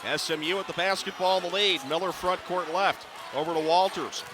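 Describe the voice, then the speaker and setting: A middle-aged man calling live basketball on radio in clipped running descriptions that can rise to excited calls. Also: sits high, fairly quick, background noise. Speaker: play-by-play basketball announcer. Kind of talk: live radio sports commentary. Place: courtside in a basketball arena.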